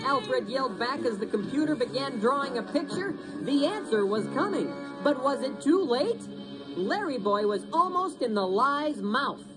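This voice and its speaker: A woman's voice: sits high, animated, normal speed, music in the background, rough recording. A woman reading a children's storybook as a dramatic narrator.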